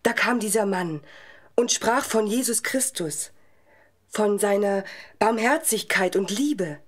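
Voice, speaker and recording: A woman's voice: mid-range voice, takes it slowly. An actress playing a devout young woman in an audio drama, speaking earnestly and fervently of her faith. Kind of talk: German audio drama acting.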